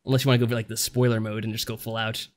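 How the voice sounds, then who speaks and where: A man co-hosting a podcast, explaining things casually in a measured, mild and hedging manner. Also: on the low side, flat delivery. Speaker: podcast co-host.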